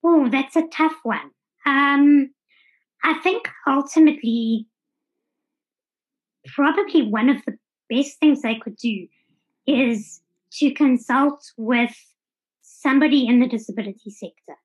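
A woman's voice: sits high, a little slow, pauses often, breathy edge. A woman with a South African accent giving casual, conversational answers as an interview guest. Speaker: podcast guest, traveller. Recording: dull, lo-fi audio.

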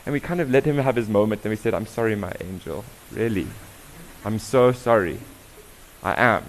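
A young South African man giving an interactive conference talk, firing questions at the audience in a lively way. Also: lowish voice, moderate pace, slight background noise, poor audio. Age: young adult. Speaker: diversity workshop presenter.

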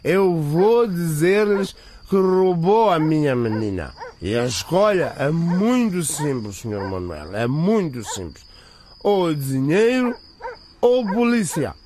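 A man playing a menacing, smug blackmailer in a radio drama, taunting and deliberate in his delivery. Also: fairly high pitch, sing-song, darkish tone, slight background noise. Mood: smug, threatening. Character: scheming male blackmailer, hard-drinking father, menacing and smug.

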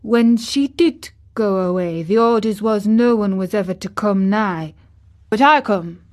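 A woman's voice: medium pitch, takes it slowly, natural voice. A woman voicing a crabbed but tender old gardener with a Yorkshire accent, dry and grumpy, in a storybook reading. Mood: tender, slow. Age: older.

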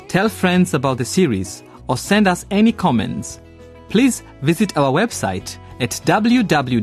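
A man reading a scripted radio outro as the series announcer, over soft instrumental music. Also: fairly high pitch. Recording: soft music bed under voice.

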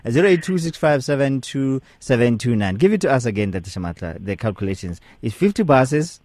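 A man's voice: medium pitch, expressive, brisk, a little mellow, dry recording. A man hosting a radio talk show, easygoing and self-deprecating as he reads out contact numbers.

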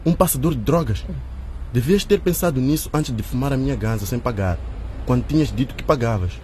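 A man's voice: medium pitch, lively intonation, fairly quick, dark tone, slight background noise, natural voice. A man playing a menacing, taunting young drug dealer in a radio drama.

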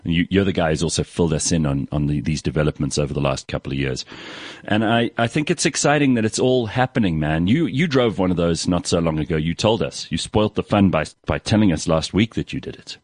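A man with a very deep voice hosting a radio chat, chatty and enthusiastic. The sound is dry and close. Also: quick pace, dry recording. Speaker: radio host.

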